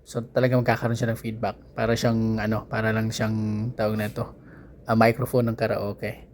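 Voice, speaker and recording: A man's voice: lowish voice, monotone, darkish tone, slight background noise. Casual Filipino man reviewing a product. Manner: conversational, halting, searching for words. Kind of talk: casual unboxing and review talk.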